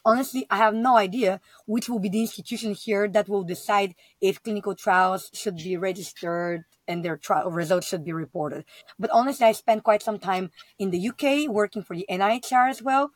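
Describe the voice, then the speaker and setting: Woman podcast host from Bulgaria, curious and probing; medium pitch, dry close sound. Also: fairly quick, no echo. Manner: inquisitive, thinking aloud as she asks. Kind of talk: podcast interview.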